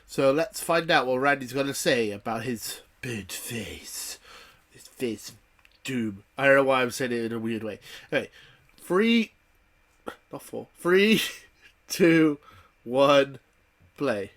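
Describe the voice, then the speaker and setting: A man's voice: medium pitch, slow, long pauses, fairly bright tone. Stuffy-nosed guy rambling through a watch-along, jokey and chatty, regular middle voice. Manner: chatty, jokey, thinks aloud with fillers. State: has a cold, sounds nasal. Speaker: reaction show host. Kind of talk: casual watch-along commentary.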